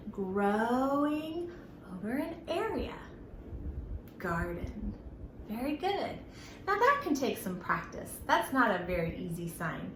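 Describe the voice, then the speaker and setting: Slow, lilting woman teaching young children. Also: on the high side, fairly bright tone. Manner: sing-song, simple phrasing with emphatic words. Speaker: children's library storytime host. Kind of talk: children's lesson with rhymes and questions to young viewers. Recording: roomy echo with background noise.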